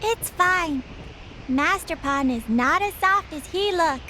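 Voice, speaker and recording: A woman's voice: very high-pitched, lively intonation, slow, bright, forceful tone, a little hiss or hum, heavily acted. An actress playing a cute, chirpy childlike robot girl with broken grammar in a video game cutscene. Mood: cheerful.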